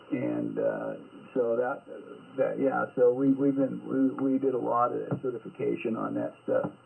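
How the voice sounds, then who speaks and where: A man's voice: medium pitch, vocal fry, husky. Rambling older man over a tinny radio line. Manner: rambling, searches for words, many fillers. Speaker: antenna inventor guest. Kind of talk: casual on-air radio chat. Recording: tinny radio transmission with hiss.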